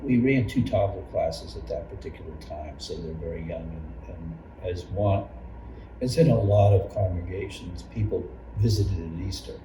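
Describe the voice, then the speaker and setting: An older man with a very deep voice reminisces about his years as a volunteer teacher. He speaks haltingly, circling back over phrases. The recording is roomy and distant, with clear background noise. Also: warm tone. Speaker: longtime church member and Sunday school teacher. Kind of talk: personal reminiscence in an oral history interview.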